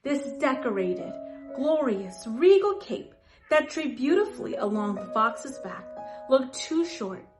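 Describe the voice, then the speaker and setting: A woman's voice: high-pitched, very animated, unhurried, music in the background. A woman narrating a children's story as its storyteller, with dramatic, emphatic delivery that leans on descriptive words.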